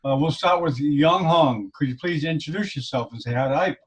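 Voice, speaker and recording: A man's voice: fairly high pitch, normal speed, rough recording. A man hosting and moderating a medical webinar over a video call, politely prompting the panelists to introduce themselves. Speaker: host.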